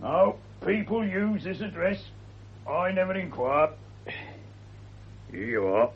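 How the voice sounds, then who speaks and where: Suspicious working-class British cafe man, gruff and curt, dull hissy vintage radio sound. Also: high-pitched, sing-song, slow pace, full character voice. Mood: grudgingly helpful. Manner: blunt, grudging, colloquial. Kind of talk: 1950s radio drama acting. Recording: dull, noisy 1950s radio recording.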